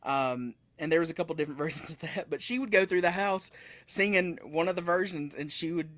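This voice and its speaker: Man, 30s, musician guest; loose, self-correcting storyteller, slightly raised pitch. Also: fairly quick, fairly bright tone, no room sound. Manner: rambling, self-correcting, laughs often. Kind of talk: casual interview chat. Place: room with metal posters behind him.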